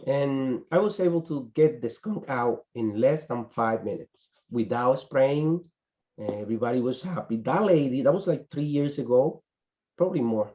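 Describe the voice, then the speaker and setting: A man, introducing his business to a networking group over a video call in a halting way, with frequent restarts and pauses. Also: medium pitch, animated, warm tone, muffled recording. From Dominican Republic. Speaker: business owner presenting his company. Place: small indoor office in front of a company banner. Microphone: video call webcam.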